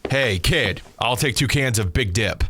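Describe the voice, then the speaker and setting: A man's voice: mid-range voice, lively intonation, measured pace, pushed and bright. A man playing an impatient, brusque gambler in an audio drama, snappish and irritable. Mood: curt. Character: impatient, irritable gambler demanding his winnings. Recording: crowd noise around the voice. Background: crowd.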